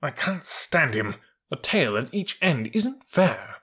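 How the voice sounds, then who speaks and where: A man acts out a big proud troop horse from an animal storybook. He sounds haughty and well-mannered, with the pitch lifted above plain narration. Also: big pitch swings, moderate pace, fairly bright tone, breathy edge, exaggerated voice. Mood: disdainful.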